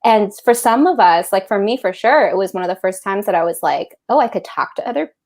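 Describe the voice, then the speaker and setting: A woman from Central Florida joins an author panel over a video call. She is animated and upbeat, with a middle-range voice that jumps higher when she gets excited. She laughs often. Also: brisk. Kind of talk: casual panel discussion.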